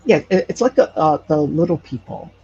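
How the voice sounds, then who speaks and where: A man chatting casually as an interview guest, often hesitating and pausing to search for words. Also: a little high, expressive, darkish tone. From Hawaii. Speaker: podcast creator, interview guest. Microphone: online call. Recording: muffled, noisy internet call.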